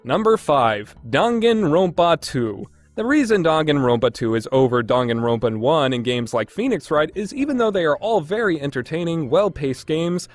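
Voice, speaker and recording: A man's voice: on the high side, a little mellow, no echo. A man narrating a scripted countdown review as a video game reviewer, informal and opinionated.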